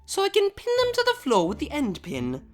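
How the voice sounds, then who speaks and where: A woman's voice: high-pitched, huge pitch swings, brisk, soft background music. A woman playing a prim, formal female pony cellist with precise, drily menacing speech in a scripted comedy audio drama. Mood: polite menace.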